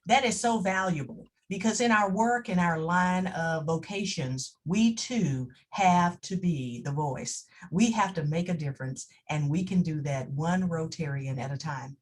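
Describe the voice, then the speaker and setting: A woman in her fifties hosting a training session, speaking earnestly and deliberately to club members. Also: lowish voice. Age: 50s. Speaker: host of a training assembly.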